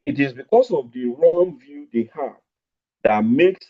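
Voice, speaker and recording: Deliberate male law lecturer, muffled line. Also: medium pitch, lively intonation. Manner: deliberate, stretched-out emphasis on key words. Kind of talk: online university lecture. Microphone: remote call over network. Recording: dull lo-fi online audio.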